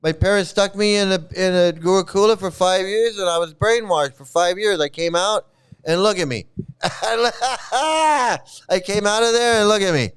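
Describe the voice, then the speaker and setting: A man gives a mocking rant over video clips, laughing often and repeating his jabs. His voice sits fairly high and sounds very clear and clean. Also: normal speed. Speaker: YouTube commentator.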